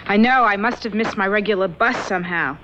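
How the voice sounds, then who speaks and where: An actress playing an earnest, spooked young woman in a 1970s radio drama, often speaking in a hushed whisper. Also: mid-range voice, a little fast, lightly acted. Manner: hushed, whispering over the board. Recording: muffled old radio broadcast, faint hiss.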